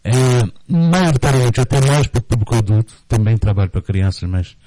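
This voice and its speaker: A middle-aged man with a Brazilian Portuguese accent, explaining in a casual radio interview in a halting way, often repeating words. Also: fairly low pitch, animated, mellow, no room sound. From Brazil, born in Rio de Janeiro, raised in Recife. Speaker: guest, storyteller and theatre group director. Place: radio studio, dry close sound.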